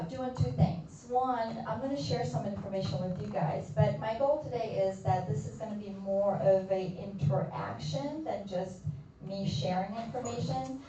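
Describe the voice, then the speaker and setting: A woman's voice: mid-range voice, a little mellow, a little hiss or hum. A woman from Poland with a Polish accent, a nurse practitioner giving a chatty health talk to a group in a community room. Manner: friendly, chatty, addresses the audience directly. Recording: distant roomy echo, slightly dull.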